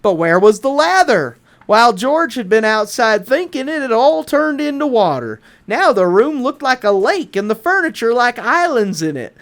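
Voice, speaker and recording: A woman reading a children's picture book aloud as an expressive bedtime story, drawing out words for emphasis. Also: on the high side, moderate pace, few pauses. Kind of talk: animated read-aloud bedtime story narration.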